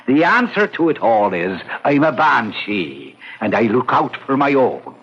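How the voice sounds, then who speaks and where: A man's voice: mid-range voice, very animated, unhurried, husky. An actor playing a sly old Irish ghost uncle in a radio drama, wheedling and impatient, repeating his words. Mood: sly, coaxing. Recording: noisy dull old radio recording.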